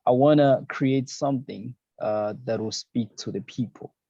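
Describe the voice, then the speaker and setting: Young Zambian-born designer guest, easygoing and filler-heavy, mellow dark tone, dry muffled lo-fi recording. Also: male voice, mid-range voice, unhurried, dry recording. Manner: easygoing, many fillers and "you know, man". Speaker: fashion designer, podcast and radio host. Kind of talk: relaxed interview answers. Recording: dull lo-fi sound.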